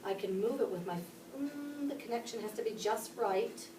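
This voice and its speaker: A woman from Pittsburgh teaches a class, walking through each step and putting questions to the children. Her voice sits a little high. She is picked up from across an echoey classroom with steady background noise. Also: moderate pace, distant mic. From Pittsburgh, Pennsylvania. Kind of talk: classroom instruction. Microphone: camera across the room.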